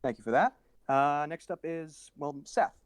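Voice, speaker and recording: A man's voice: fairly high pitch, a little slow, smooth, dry recording. A man moderating a panel in a casual, chatty style, handing over from speaker to speaker. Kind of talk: casual chat.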